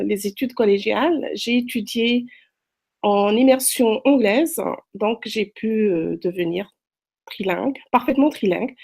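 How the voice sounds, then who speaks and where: A woman's voice: medium pitch, creaky, a little mellow. A middle-aged woman from Morocco, a French tutor introducing herself in a hesitant, unscripted way with many fillers. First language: French, also speaks Arabic. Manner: hesitant, frequent fillers and restarts. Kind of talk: self-introduction to prospective students. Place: Quebec, Canada.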